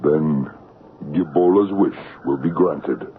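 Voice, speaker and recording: An actor playing a stern, unforgiving local man in a 1970s radio drama, cold, curt and measured. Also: a bass voice. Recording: hissy, tinny old radio broadcast.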